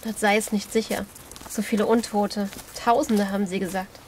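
A woman's voice: medium pitch, flat delivery, moderate pace, slight background noise, natural voice. A woman playing a hopeful, inquisitive survivor in a horror audio drama. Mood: questioning.

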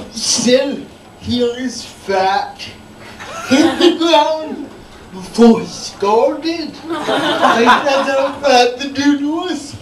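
A young man performing stand-up comedy stories in a halting, drawn-out, effortful delivery. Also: very high voice. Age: young adult. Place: small dim comedy club stage indoors. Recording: noisy, echoey live recording from far off.

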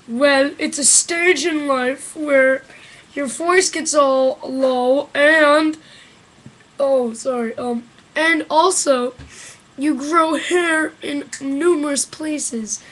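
A woman's voice: high-pitched, drawn-out words, a bit bright, exaggerated voice, low-quality recording. A woman voicing a blunt, dismissive monkey policeman puppet in a homemade webcam puppet show from a small bedroom.

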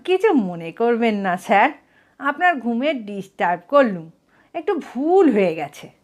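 A woman's voice: a little high, big pitch swings, measured pace. A woman voicing a short, absent-minded male burglar in a comic story, polite but complaining and indignant. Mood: apologetic.

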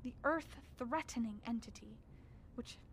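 Woman acting a grave, ominous scholar, higher-pitched voice. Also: slight background noise, natural voice, poor audio. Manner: formal, measured, ominous. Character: grave, dread-filled scholar Dr. Armitage recounting horrors. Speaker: actor narrating. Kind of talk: radio drama narration as a written record.

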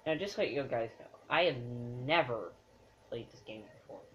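A man's voice: mid-range voice, expressive, moderate pace, noisy background. A man giving casual, offhand gameplay commentary, muttering small exclamations as he plays. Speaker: let's play commentator. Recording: dull lo-fi camera recording of TV. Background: loud game music.

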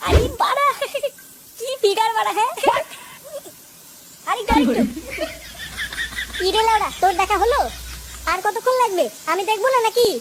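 A performer voicing a crude, cheeky, excitable cartoon boy in a brash, blustering manner. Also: very high voice, moderate pace, pauses often. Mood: impatient. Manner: brash, foul-mouthed, blustering. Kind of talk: cartoon comedy acting. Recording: loud background noise.

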